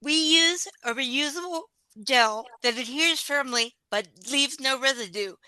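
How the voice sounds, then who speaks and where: Bright, high-voiced woman entrepreneur. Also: a little slow, clean tone, no echo, low-quality recording. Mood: eager, persuasive. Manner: polished, salesmanlike pitch delivery. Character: inventive, business-savvy woman entrepreneur pitching her gadget. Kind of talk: audio play acting.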